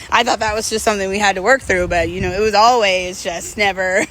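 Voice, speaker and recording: A woman's voice: medium pitch, fairly even intonation, brisk, a bit bright, poor audio. A woman in her thirties talking casually and candidly, reflecting in a confessional way as she walks outdoors. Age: 30s. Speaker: vlogger. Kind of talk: casual confessional chat while walking. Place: outdoors, walking. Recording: clear outdoor urban traffic noise.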